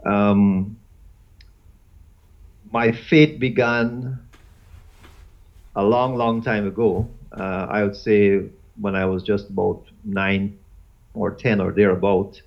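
Slow gravelly man, lo-fi. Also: on the low side, level tone. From Guyana. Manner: halting, with many fillers and repeated words. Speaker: guest. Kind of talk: casual interview chat. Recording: dull lo-fi audio.

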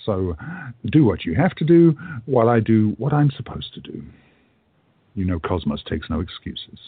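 A man's voice: on the low side, very animated, moderate pace, breathy edge, dry recording. A man playing a polite, wry time traveller in his late thirties who runs a bookstore, in a radio play. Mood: dry, ironic. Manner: polite, wry, precise phrasing. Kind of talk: audio drama acting. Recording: muffled, narrow phone-like audio.

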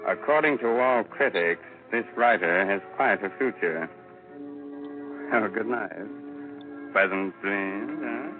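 A man's voice: lowish voice, expressive, takes it slowly, long pauses. A mocking, ghoulish horror host of 1940s radio. He speaks with sardonic, mock-sinister relish. The old broadcast is narrow and tinny, with music behind him.

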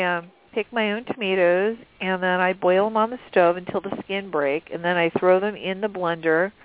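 A woman's voice: fairly low pitch, dry recording. A sniffly woman co-hosting a cooking show, chatting casually and rambling with lots of fillers. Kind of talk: casual chat. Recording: dry tinny phone line.

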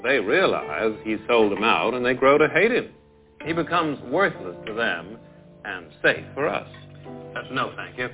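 A calculating male power broker in a film drama, speaks with smooth cynicism and a widely swinging, animated pitch. The sound is thin and phone-like, with music underneath. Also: measured pace. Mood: calculating, persuasive. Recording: muffled phone-like film clip over music.

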